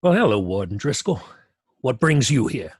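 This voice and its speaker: A man playing a brisk, stern police commissioner with an authoritative, questioning manner, in old-time radio drama style. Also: medium pitch, big pitch swings, slightly rough, no room sound, clean studio sound. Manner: authoritative, interrogating.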